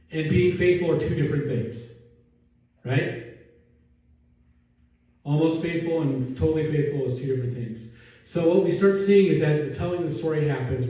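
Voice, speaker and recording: A male pastor preaching a relaxed, joking sermon and asking his congregation questions, recorded in a church sanctuary from a distance. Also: a little high. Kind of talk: conversational sermon. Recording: echoey hall, distant mic.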